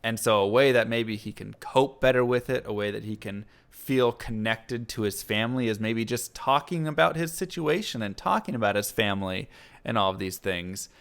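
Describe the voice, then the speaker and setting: A man's voice: fairly low pitch, normal speed, fairly bright tone. A young man hosting a podcast, asking his guest long, reflective questions in a casual way. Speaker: podcast host.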